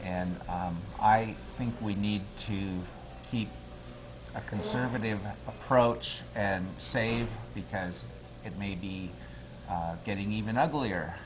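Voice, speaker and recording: Low-voiced man in a lo-fi interview. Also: slow, noisy background. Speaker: political candidate. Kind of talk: local TV interview guest.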